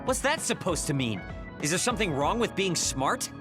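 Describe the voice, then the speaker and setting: A man's voice: high voice, big pitch swings, normal speed. A man playing a prickly, proud young soldier in an English game dub, blunt and indignant. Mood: irritated. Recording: faint music behind the voice.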